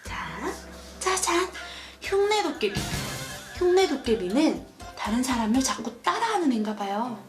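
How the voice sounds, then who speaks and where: A woman hosting a children's show, reading a storybook and asking questions in an animated, sing-song, teacherly way. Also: sits high, a little slow, over music. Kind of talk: storybook narration and chat with a child.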